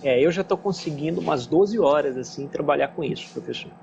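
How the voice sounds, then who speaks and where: A man's voice: fairly high pitch, fairly quick, vocal fry, darkish tone. A man, talking casually as a student on an online call, agreeable and often repeating himself. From São Paulo, southeastern Brazil. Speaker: English student. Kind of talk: casual conversation on a video call. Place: Skype call. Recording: dull online call, faint noise.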